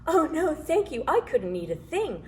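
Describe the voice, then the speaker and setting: A woman voicing an excitable little female elf with a high, eager voice. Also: normal speed, slight background noise.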